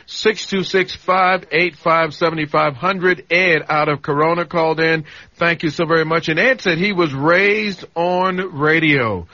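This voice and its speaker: A man hosting live radio, chatting casually and making a call-in pitch in a studio. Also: measured pace, bright, forceful tone, dry recording, muffled recording. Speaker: radio host.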